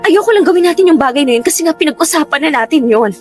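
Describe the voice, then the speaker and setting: A woman's voice: sits high, expressive, rapid-fire, a little mellow. Guarded young Filipino woman, music underneath. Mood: upset, accusing. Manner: emphatic, nagging. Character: guarded, sensitive young woman, quick to scold. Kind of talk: Tagalog radio drama acting. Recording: music bed under dialogue.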